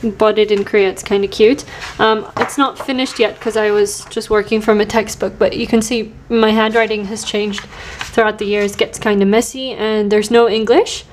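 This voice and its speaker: A woman's voice: medium pitch, fairly even intonation, moderate pace, slight background noise. A woman in her twenties chatting casually and self-deprecatingly as she shows her study notebooks, laughing now and then. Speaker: language learner vlogger. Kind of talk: casual show-and-tell narration.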